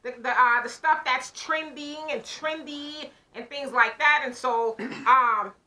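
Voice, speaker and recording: Woman, high bright voice, casual chat, animated storyteller, talk show co-host. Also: slow pace. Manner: animated storyteller who acts out her own side of conversations. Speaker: podcast co-host.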